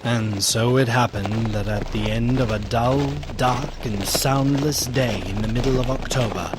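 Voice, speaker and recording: An actor as slow, solemn gothic gentleman narrator. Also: mid-range voice, steady tone, background noise, lightly acted. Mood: dread. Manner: literary, solemn, theatrical. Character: melancholy, fearful gentleman narrator, loyal old friend. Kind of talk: dramatic gothic radio narration.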